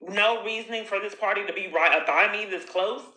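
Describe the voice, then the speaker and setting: A woman's voice: medium pitch, moderate pace, bright, forceful tone. A woman talking in an insistent, accusatory way, confronting someone on a call and running into long, repetitive monologues. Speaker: caller recording her own phone call. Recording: echoey room.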